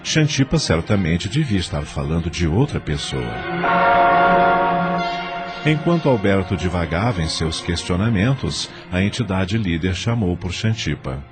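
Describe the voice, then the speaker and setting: Um homem com sotaque brasileiro narrando uma novela de áudio a partir de um texto. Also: male voice, sits low, big pitch swings, unhurried, music in the background. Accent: Brazilian. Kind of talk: scripted audio drama narration.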